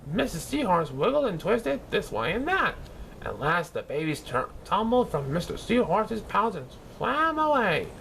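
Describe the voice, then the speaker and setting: Childlike cartoon sponge, wildly swooping pitch with a creaky edge, eager storybook reader. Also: male voice, wildly swooping pitch, measured pace, a bit bright, a little hiss or hum, exaggerated voice. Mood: bubbly storytelling. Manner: eager, exclamatory, stumbling over words. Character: excitable, childlike yellow cartoon sea sponge reading a storybook. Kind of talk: children's storybook read-aloud in character.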